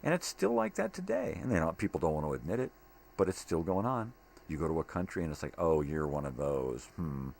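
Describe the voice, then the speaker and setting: A man's voice: rumbling low, sing-song, fairly quick, darkish tone. An older man rambling casually in a monologue, blunt, opinionated and given to swearing. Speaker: daily vlog host. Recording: clearly noisy home recording.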